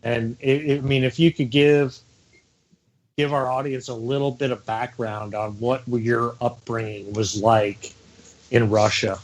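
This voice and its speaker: A man co-hosts an online radio talk show. He talks slowly and haltingly at a medium pitch, stumbling over repeated words and ums before each point. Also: fairly even intonation, poor audio. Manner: halting, many restarts and ums. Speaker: co-host.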